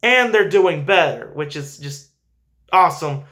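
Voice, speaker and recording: A man's voice: a little high, lively intonation, unhurried, pushed and bright. A man hosting a finance show, giving informal, emphatic stock commentary and repeating phrases for stress.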